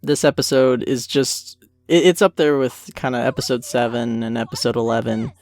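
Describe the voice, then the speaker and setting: A man giving a casual, rambling and self-deprecating commentary on an animated series he made. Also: mid-range voice, moderate pace, no echo, soft background music. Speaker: creator of an animated parody series, commentary host. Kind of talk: casual commentary track chat.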